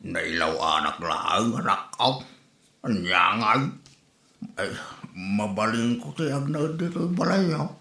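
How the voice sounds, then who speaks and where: A man's voice: fairly low pitch, expressive, slow, creaky, pushed and bright, full character voice. A man playing a feckless, evasive older father with an Ilocano accent in a comedy drama. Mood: sheepish pleading. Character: feckless older father who drinks and squanders money. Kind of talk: Ilocano comedy radio-style drama acting. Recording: roomy echo.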